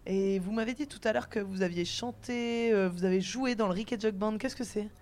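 A woman hosts a live radio show. Her voice sits at a middling pitch as she interviews guests before an audience. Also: fairly quick, darkish tone. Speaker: radio host.

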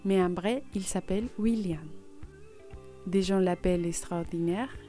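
A woman's voice: fairly low pitch, measured pace, dark tone, soft background music. A woman presenting a radio programme with a scripted introduction.

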